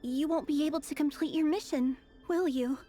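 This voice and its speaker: A woman playing a timid, gentle young captive girl in a video game, earnest and hesitant. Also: sits high, moderate pace, faint music behind, close to an everyday voice. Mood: timid, surprised. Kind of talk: dramatic video game acting.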